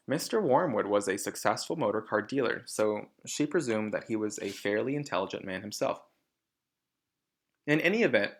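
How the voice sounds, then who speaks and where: A man reading a children's storybook aloud in an expressive narrating style. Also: medium pitch. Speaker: storybook reader.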